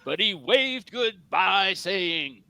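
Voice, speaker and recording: A man's voice: high-pitched, expressive, drawn-out words, vocal fry, over a noisy crowd. A man taking part in a live script reading, reciting and chatting casually. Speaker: panelist and reader in a table read. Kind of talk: spoken song lyrics and casual banter.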